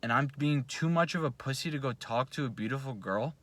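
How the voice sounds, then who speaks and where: A man's voice: medium pitch, a little fast, a bit bright, no room sound. A young man whose first language is Russian, talking in a blunt, profane, self-coaching vlog monologue at home at night. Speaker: vlogger. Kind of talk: casual motivational monologue. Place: home, at night before bed.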